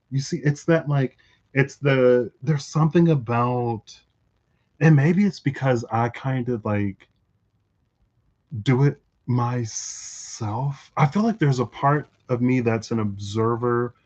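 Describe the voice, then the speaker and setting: A man thinking out loud in a rambling, reflective monologue for his tarot channel, full of fillers and restarts. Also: medium pitch, muffled recording. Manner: musing, lots of fillers and restarts. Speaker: tarot reader vlogger.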